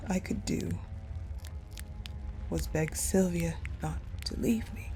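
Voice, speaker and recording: A woman playing a wounded, vengeful ice-powered general in a dramatic audio roleplay monologue, halting and breathy, often slipping toward a whisper. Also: lowish voice, dark tone. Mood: grieving.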